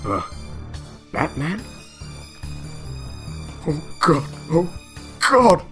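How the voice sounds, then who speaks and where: A panicked male scientist, acted out by a man, desperate and raised in pitch. He sounds like a doomed researcher in a comic audio drama, with music underneath. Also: sing-song, extremely slow, heavily acted. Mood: terrified. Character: panicked male research scientist recording his last message.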